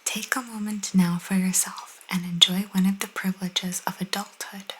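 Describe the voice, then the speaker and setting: A young woman whispering softly and intimately as an ASMR host, reading and chatting casually close to the microphone. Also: mid-range voice, fairly even intonation, normal speed, a little hiss or hum, poor audio. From Italian cultural background. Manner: soft, intimate, chatty asides.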